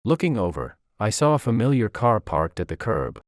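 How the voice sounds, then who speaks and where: A man's voice: on the low side, very animated, normal speed, no echo, clean studio sound. A man reading a scripted first-person story as its narrator.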